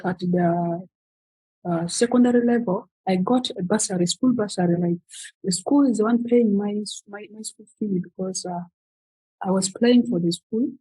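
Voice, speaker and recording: 20s woman from south sudan, talks in stops and starts, mellow husky voice. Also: mid-range voice, dry recording, poor audio. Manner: halting, many fillers and restarts. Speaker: guest, footballer. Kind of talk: virtual interview answers.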